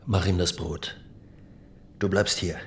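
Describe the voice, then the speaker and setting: An actor in a German radio drama playing a stubborn, brooding farmer and husband who talks in terse, blunt phrases. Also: male voice, sits low, steady tone, takes it slowly, a little hiss or hum. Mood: stubborn, challenging. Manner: terse, blunt, hushed at times.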